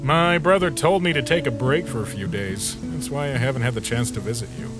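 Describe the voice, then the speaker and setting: A man's voice: mid-range voice, brisk, natural voice, low-quality recording. A man voicing an easygoing, joke-loving skeleton sentry in a comic dub, casual and musing. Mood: relaxed, sincere. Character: easygoing skeleton sentry who loves jokes, troubled by nightmares. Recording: music behind the voice.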